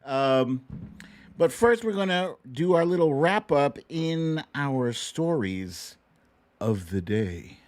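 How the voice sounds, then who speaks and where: A man's voice: on the high side, animated, takes it slowly, a little mellow. A middle-aged man hosting a livestream show, chatting casually with viewers in a playful, sing-song way. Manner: playful, exclamatory, sing-song with silly catchphrases.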